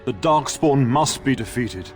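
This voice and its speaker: An actor playing a gravely wounded middle-aged noble father in a video game, halting and labored, loving and dutiful. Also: mid-range voice, animated, faint music behind, lightly acted. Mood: solemn, tender. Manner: halting, pained, labored delivery. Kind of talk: dramatic video game acting.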